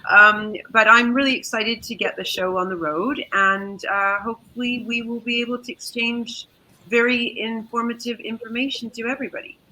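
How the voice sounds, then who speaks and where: A woman's voice: fairly high pitch, fairly even intonation, moderate pace, bright, forceful tone, low-quality recording. A woman with a Canadian accent speaking graciously as a panelist over a video call. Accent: Canadian English. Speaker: panelist, business consultant. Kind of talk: polite panel introduction.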